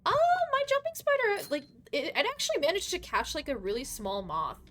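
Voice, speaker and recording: A woman's voice: extremely high pitch, huge pitch swings, a little fast, background noise. A young woman streaming live while gaming, chatting in a rambling, giggly way, her voice a bit worn from losing it lately. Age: young adult. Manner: rambling and giggly, full of fillers. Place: her bedroom.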